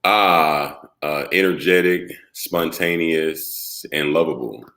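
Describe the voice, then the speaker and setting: Interview guest, man, thirties, very low voice, relaxed and open. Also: takes it slowly. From South Carolina. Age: 30s. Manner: relaxed, talks openly, breaks into laughs. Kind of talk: casual interview.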